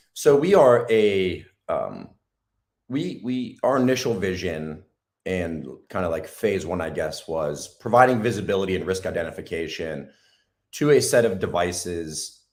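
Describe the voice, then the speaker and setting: Low-voiced hoarse male guest, halting and casual. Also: expressive, a little mellow. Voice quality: raspy. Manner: halting, lots of ums and restarts. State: hoarse from days of talking. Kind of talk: casual interview talk.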